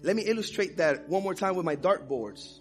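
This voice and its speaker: Man preaching, high voice, soft guitar music behind, impassioned. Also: lively intonation, brisk. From Dominican Republic. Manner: impassioned preaching with personal stories. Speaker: pastor. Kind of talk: sermon.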